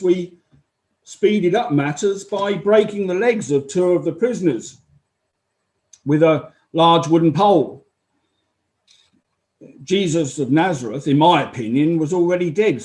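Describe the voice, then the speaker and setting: A man's voice: on the high side, measured pace, darkish tone, close to an everyday voice. A man acting as a matter-of-fact Roman army officer who gives unemotional, explanatory testimony in a dramatized inquiry.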